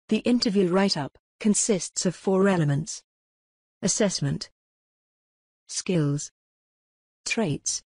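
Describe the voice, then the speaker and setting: Low-pitched woman narrating step-by-step instructions. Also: a little mellow, breathy edge, no echo, clean studio sound. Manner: measured, impersonal step-by-step delivery. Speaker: software tutorial narrator.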